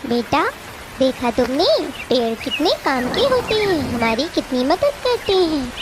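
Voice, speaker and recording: Woman playing a high-pitched, caring mother bird. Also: heavy background noise. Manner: earnest, motherly, explaining. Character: gentle, caring mother bird, protective of her young son. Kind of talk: children's cartoon story voice.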